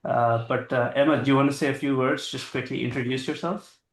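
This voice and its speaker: A man hosts a fireside chat in a friendly, casual way, with easy fillers between thoughts. His pitch sits mid-range and stays flat, and the sound carries an echoey room. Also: brisk. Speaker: moderator, interviewer.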